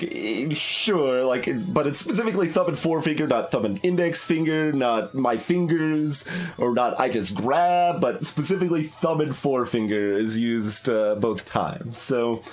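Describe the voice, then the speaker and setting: A man's voice: medium pitch, lively intonation, a little slow. A man giving casual, analytical game commentary, thinking aloud in a hesitant way. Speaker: video game streamer. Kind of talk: casual analytical live playthrough commentary with poem read aloud. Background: music.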